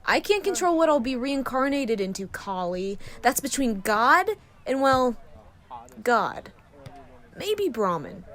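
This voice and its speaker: A woman's voice: high-pitched, very animated, slow pace, a bit bright, full character voice. A woman playing a sardonic, crude, teasing rogue of a space crew in an audio drama, with a sarcastic, needling delivery. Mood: wry, flippant.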